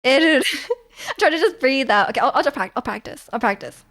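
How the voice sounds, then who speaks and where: Giggly high-voiced young woman streamer. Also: expressive, normal speed, fairly bright tone. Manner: giggly, chatty, reading and answering chat. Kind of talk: casual live-stream chat.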